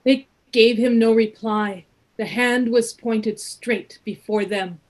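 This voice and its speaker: A woman narrating a radio play as a measured storyteller, reading scripted literary prose. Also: a little high, fairly even intonation, a little mellow.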